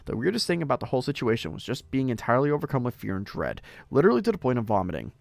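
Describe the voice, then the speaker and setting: A hoarse man hosting a podcast stream, reading stories aloud and rambling to his chat. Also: medium pitch, no echo. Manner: rambling, talks to chat while browsing. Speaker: podcast host.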